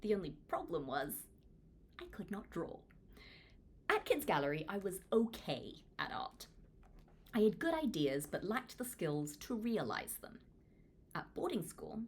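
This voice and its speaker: A woman from Hong Kong reading a book aloud in an expressive, informal, self-deprecating way, like a read-along. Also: medium pitch, slight background noise. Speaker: author reading their own book aloud. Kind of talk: audiobook read-along with casual asides.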